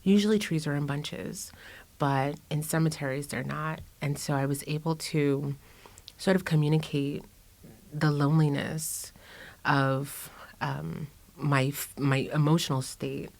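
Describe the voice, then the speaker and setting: Low-voiced woman talking reflectively. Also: steady tone, measured pace, creaky, a little mellow. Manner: reflective, many fillers and restarts, laughs often. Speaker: guest artist. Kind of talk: casual interview conversation.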